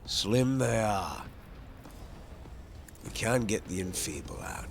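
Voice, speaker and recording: A man hosting a game playthrough in a low voice with a creaky edge. He thinks out loud and chats to his characters at an unhurried pace, over game music. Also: expressive, slight background noise. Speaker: gaming channel host. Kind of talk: playthrough commentary over a turn-based strategy game.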